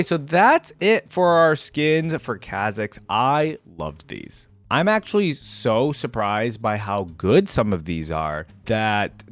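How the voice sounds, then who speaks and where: A man's voice: mid-range voice, no room sound. A man giving casual, off-the-cuff reaction commentary as a video creator, thinking aloud in a conversational way.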